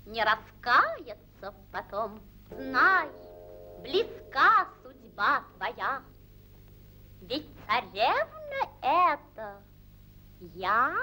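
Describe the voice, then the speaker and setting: A woman's voice: high-pitched, wildly swooping pitch, extremely slow, sharp tone, exaggerated voice, poor audio. A woman playing a young, wise enchanted swan princess in a 1940s cartoon, speaking melodious, stately verse. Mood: gentle, solemn. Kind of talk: 1940s animated fairy-tale film acting. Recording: old 1940s film soundtrack, music behind.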